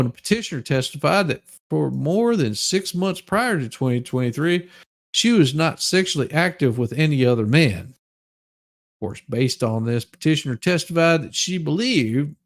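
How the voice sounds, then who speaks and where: A man giving a mock dramatic reading with crude comic asides, in a halting, folksy, stammering delivery. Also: fairly high pitch, creaky. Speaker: livestream host.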